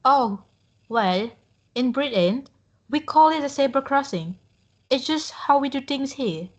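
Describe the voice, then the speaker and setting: A helpful British woman gives directions, played by a young female student in a classroom role-play. Her voice sits fairly high. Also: expressive, measured pace, lo-fi sound. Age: young adult.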